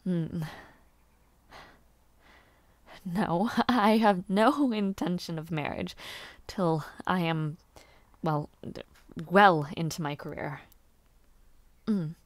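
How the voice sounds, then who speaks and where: Woman acting a slow-paced, bashful young noblewoman. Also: on the low side, dry recording. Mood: wry, bashful. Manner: bashful, halting stammers, giggly, formal old-fashioned wording. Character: young noble lady, aspiring knight, bashful and playful. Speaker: roleplay voice actor. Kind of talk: intimate scripted audio roleplay.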